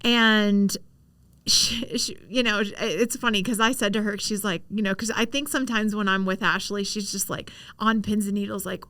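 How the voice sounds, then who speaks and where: A woman's voice: medium pitch, fairly even intonation, normal speed, bright, forceful tone, no room sound. A middle-aged woman as a podcast guest, talking frankly and animatedly in casual chat, acting out little quotes. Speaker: podcast guest, real estate broker.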